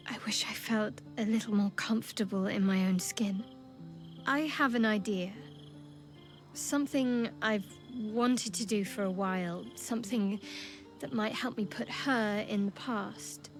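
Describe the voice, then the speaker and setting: A woman playing a guarded, wry young cleric companion in a fantasy video game, measured and intimate. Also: mid-range voice, faint music behind, natural voice. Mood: soft, resolved. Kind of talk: video game dialogue acting.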